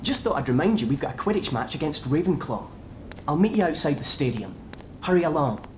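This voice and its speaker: An actor playing a brisk, keen schoolboy sports captain in a video game. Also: male voice, fairly high pitch, sing-song, darkish tone, a little hiss or hum. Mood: brisk, friendly. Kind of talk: video game cutscene acting.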